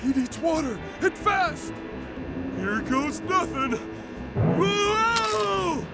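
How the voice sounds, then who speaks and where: A childlike, dim but big-hearted cartoon starfish. The goofy voice is slow and emotional, leaping up and down in pitch. Loud music runs behind it. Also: male voice, animated, gravelly, exaggerated voice. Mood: frantic, tearful. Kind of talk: cartoon video game voice acting.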